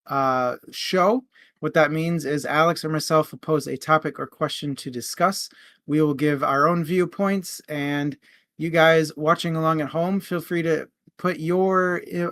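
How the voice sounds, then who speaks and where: Higher-voiced man hosting, close dry sound. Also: fairly bright tone, no room sound. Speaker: podcast host. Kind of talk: casual book discussion.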